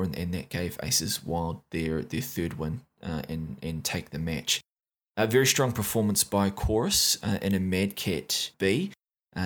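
Man, new zealand accent, very low voice, casual match recap, lots of "uh" fillers. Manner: relaxed, frequent "uh" fillers and restarts. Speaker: esports match recap caster.

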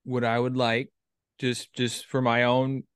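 Male, thirties, mid-pitched, reflective and halting casual talker with salty language, dry recording. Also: monotone, smooth, dry recording. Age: 30s. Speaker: writer and performer, military veteran. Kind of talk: casual creative discussion.

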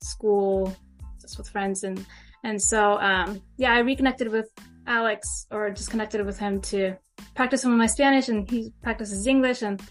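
A woman from Texas with an American accent, a guest talking in a relaxed, chatty way with "um" and "like.". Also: mid-range voice, normal speed, faint music behind. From San Antonio, Texas. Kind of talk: casual interview chat.

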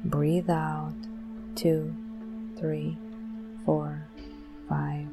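A woman leading a guided meditation in a gentle, soothing, deliberate manner with long pauses. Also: low voice, level tone, over music.

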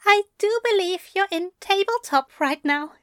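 A woman voicing a tender, fussy gentleman angel in audio fiction, with a prim, gently coaxing manner. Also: very high voice, lively intonation, unhurried, heavily acted. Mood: fond, coaxing. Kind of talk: audio fiction character acting.

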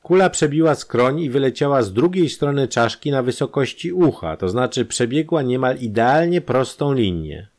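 A man's voice: mid-range voice, lively intonation, moderate pace, few pauses, darkish tone, voice pushed up, natural voice. A man voicing a brusque, impatient, experienced male doctor in a crime audio drama. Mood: explaining. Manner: curt and insistent.

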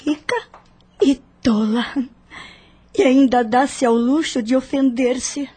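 A woman's voice: high voice, takes it slowly, dark tone. An actress playing a vivacious, vain young Brazilian woman in a radio drama, with a gushing, theatrical delivery. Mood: scornful. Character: vivacious, vain, materialistic young female cousin.

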